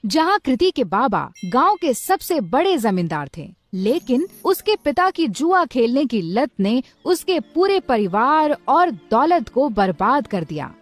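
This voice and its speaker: A woman storyteller giving an expressive scripted narration of a story. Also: sits high, measured pace, few pauses, dry recording, faint music behind.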